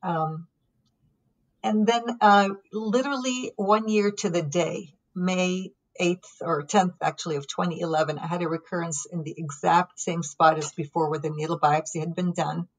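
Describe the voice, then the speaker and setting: A middle-aged woman from Lebanon, a podcast guest, telling her story calmly and reflectively with frequent pauses and ums. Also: lowish voice, poor audio. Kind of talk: conversational storytelling.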